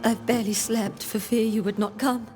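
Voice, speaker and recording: Female voice, actress as anxious pious colonial townswoman, sleepless, dramatic video game acting, clear music underneath. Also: a little high, fairly even intonation, normal speed, close to an everyday voice. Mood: anxious, relieved.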